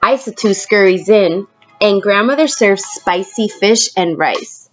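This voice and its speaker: A woman teacher reading a picture book aloud expressively, pausing to prompt young listeners with questions. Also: mid-range voice, lively intonation, muffled recording, soft background music. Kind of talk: storybook read-aloud with questions to children.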